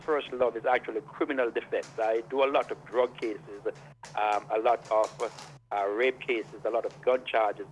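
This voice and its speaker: A man from Jamaica, a lawyer, explaining the law step by step as a guest on a radio show. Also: medium pitch. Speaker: immigration and criminal defense lawyer. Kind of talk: radio interview over a phone line. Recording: dull lo-fi phone line with faint hiss.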